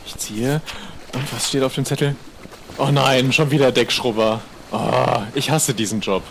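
A man's voice: medium pitch, steady tone, unhurried, gravelly, noisy background. A man playing a put-upon, self-pitying pirate deck scrubber in an improvised audio play. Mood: dismayed. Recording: music behind the voice.

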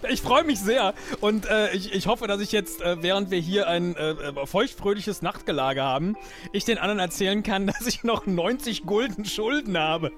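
A man role-playing casually as a guest player, playful and halting as he thinks aloud. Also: sits high, a bit bright, faint music behind. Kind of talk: casual improvised role-play.

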